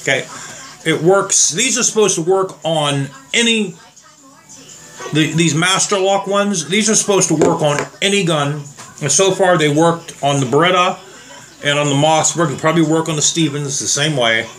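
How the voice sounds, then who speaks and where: Slightly hoarse man demoing trigger locks. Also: a little high, normal speed, fairly bright tone, low-quality recording. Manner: rambling, plain-spoken, addresses viewers as guys. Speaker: gun reviewer. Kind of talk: casual demo vlog.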